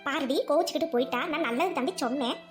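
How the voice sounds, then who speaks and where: A hot-tempered older village woman acted by a woman from a village drama. She speaks high and quickly, in a bossy, colloquial, questioning way. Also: over music, close to an everyday voice. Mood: defensive. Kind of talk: village comedy-drama acting.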